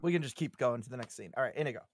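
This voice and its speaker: A woman's voice: a bass voice, racing, husky. A woman chatting casually and jokingly during an online script read on a group video call. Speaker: table read participant.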